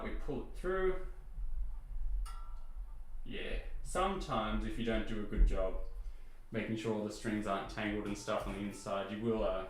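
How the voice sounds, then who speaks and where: A man with a low speaking voice chatting casually and self-deprecatingly to his viewers, rambling with lots of fillers. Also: roomy sound, low-quality recording. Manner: easygoing, rambling, self-deprecating, many "you know" and "uh" fillers. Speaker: VTuber streamer. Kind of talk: casual chat to stream viewers while restringing a guitar.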